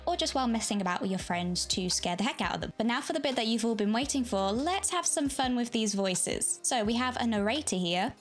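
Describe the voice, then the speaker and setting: A woman hosting a software tutorial, narrating step by step in a friendly, enthusiastic way. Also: fairly high pitch. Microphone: Blue Yeti desktop microphone. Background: background music.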